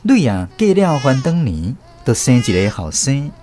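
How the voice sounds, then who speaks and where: A Taiwanese man tells a story in the first person as a radio drama narrator. His pitch moves in a lively way at a middling height, over faint music. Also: normal speed.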